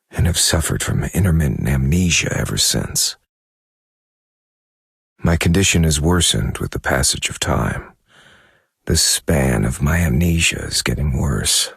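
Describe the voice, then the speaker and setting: Super deep raspy brooding amnesiac writer in a game, grave slow monologue, dry close sound. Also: male voice, animated, no echo, exaggerated voice. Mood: somber. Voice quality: gravelly, husky. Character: brooding amnesiac male novelist turned investigator, troubled. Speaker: game character narrator. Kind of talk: dramatic scripted monologue.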